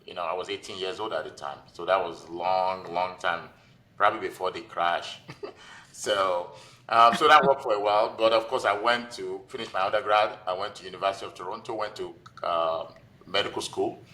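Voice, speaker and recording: A man's voice: low voice, brisk, bright, forceful tone. A man in his forties with a Nigerian accent, telling his own story informally as a guest in a conversational interview. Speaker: podcast guest, physician and investor.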